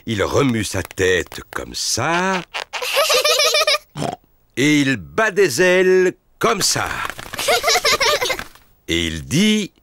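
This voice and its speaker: A man's voice: on the high side, huge pitch swings, very slow, long pauses, very noisy, heavily acted. A man playing a jovial, playful daddy pig in a children's cartoon dub, exclaiming expressively. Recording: music bed behind the voice.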